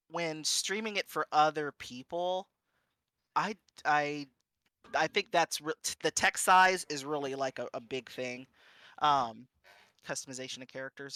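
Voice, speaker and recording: A man giving an informal panel talk and answering questions in a conversational, halting, self-correcting way. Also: medium pitch, sharp tone, no echo. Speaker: panel speaker and streamer.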